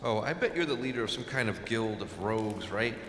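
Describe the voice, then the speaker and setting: A man's voice: on the low side, expressive, brisk, fairly bright tone. An actor playing an eager, nerdy teenage boy gamer in a fantasy audio drama. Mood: eager, curious. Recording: reverberant space, faint noise.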